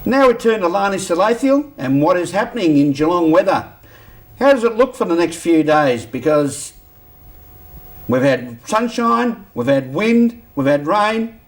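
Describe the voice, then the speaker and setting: A man's voice: sits high, very animated, a little slow, distant mic. A man with an Australian accent anchoring a news bulletin with chatty handovers.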